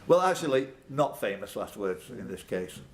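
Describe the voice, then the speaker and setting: A man's voice: fairly low pitch, very animated, normal speed. A man hosting a live pub quiz, reading out questions with jokey, off-the-cuff banter and laughing at his own jokes. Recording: live pub room with background noise.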